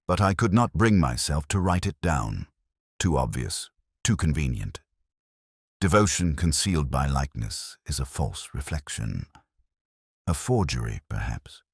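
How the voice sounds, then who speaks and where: Male narrator, very low register with lively pitch movement, dry close sound, melancholy literary detective storytelling. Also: no room sound. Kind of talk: scripted first-person detective story narration.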